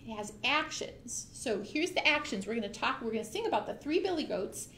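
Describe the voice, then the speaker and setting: A woman's voice: fairly high pitch, expressive, brisk, pushed and bright, slight background noise. A woman hosting a children's storytime, explaining things simply and putting questions to young listeners. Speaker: library storytime host. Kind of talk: children's storytime read-aloud with asides and questions.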